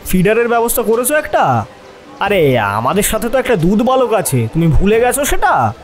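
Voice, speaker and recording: A man voicing a cheeky young man who teases his companions in an audio drama, playful and animated. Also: high-pitched, normal speed, soft background music, pitched above the performer's own voice. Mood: teasing. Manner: teasing, animated.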